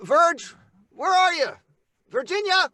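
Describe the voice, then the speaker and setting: Teenage boy, eager, earnest, very high for a man, radio drama, dry. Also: lively intonation, slow pace, pushed and bright, dry recording, exaggerated voice. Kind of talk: old-time radio drama acting. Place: small treated recording booth. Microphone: close studio microphone.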